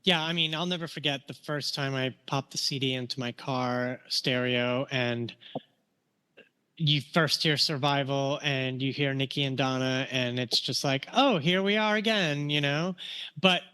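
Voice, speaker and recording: Guy on a podcast panel, bright clean voice, talking casually. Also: medium pitch, unhurried, clean tone. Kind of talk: casual chat.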